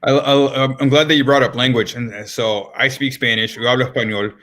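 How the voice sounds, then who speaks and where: A man's voice: medium pitch, fairly even intonation, fairly quick, a bit bright. A man hosting a live-streamed interview, talking in a friendly way with many ums and repeated words. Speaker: interview host.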